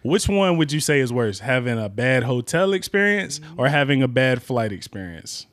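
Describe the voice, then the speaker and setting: A man's voice: medium pitch, sing-song, normal speed, fairly bright tone. A man hosting a casual chat in an informal, slangy way, repeating phrases for emphasis. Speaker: host.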